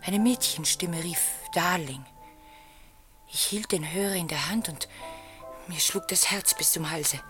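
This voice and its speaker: An actress playing a wounded, emotional wife in a German radio play, dramatic, dropping into hushed tones. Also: female voice, fairly low pitch, a little slow, long pauses, soft background music. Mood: subdued, hurt.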